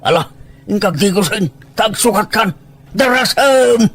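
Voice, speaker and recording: A man's voice: high voice, lively intonation, measured pace, fairly bright tone, heavily acted. A man with a Filipino accent playing a sly, lecherous older village man in an audio drama, coaxing and exclaiming as he goes. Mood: egging on. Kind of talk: Ilocano audio drama acting. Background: background music.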